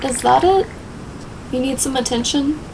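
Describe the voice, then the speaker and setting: A woman's voice: high-pitched, normal speed, vocal fry. A woman playing a weary, skeptical young traveler in an audio drama, speaking in a casual, wry way. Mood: gentle. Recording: noisy ambience behind.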